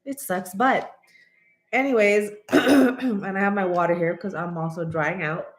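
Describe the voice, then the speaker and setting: A woman's voice: medium pitch, fairly even intonation, a little slow, reverberant. Congested, nasal woman in her thirties. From American. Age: 30s. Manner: chatty, rambling, frequent throat clearing. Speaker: channel host. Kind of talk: casual vlog chat.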